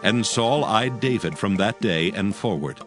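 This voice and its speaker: A man narrating a dramatized scripture audio book from a script in a solemn, measured storytelling way. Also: fairly low pitch, animated, over music.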